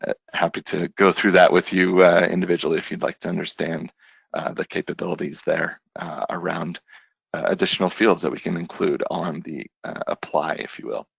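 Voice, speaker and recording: Brief: low, gravelly, husky male presenter; relaxed demo delivery, full of ums; dry, dull web-call sound. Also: fairly quick, dry recording. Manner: relaxed, many fillers and restarts. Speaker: software consultant giving a demo. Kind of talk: screen-share product demo.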